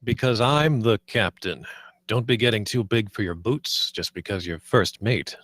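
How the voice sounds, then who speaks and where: A man's voice: lowish voice, normal speed, a bit bright, no room sound. A man playing a silly, self-important but nervous spaceship captain in a comedy table read, with an earnest comic manner. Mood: pompous, earnest.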